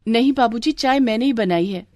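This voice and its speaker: A woman's voice: on the high side, fairly quick, a little mellow, pitched above the performer's own voice, close to an everyday voice. A woman voicing a sensible, teasing young sister-in-law in a cartoon, with a wry, reasoning manner. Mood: calm, protesting.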